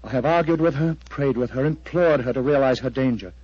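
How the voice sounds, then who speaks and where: Guy doing anxious earnest vicar, husky and halting, very formal, muffled old radio play. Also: mid-range voice, a little mellow, no room sound, close to an everyday voice. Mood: urgent, pleading. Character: agitated, earnest English clergyman, grave and intense. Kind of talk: 1940s radio drama acting. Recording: muffled old radio recording, dry sound.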